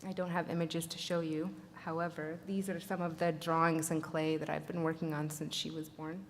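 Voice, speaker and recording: A woman with a lowish voice speaks at a panel, sounding grateful and pausing often on fillers. The sound rings with a long reverb, and a faint noise sits behind it. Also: flat delivery. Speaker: artist presenting at a panel. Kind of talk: conference talk.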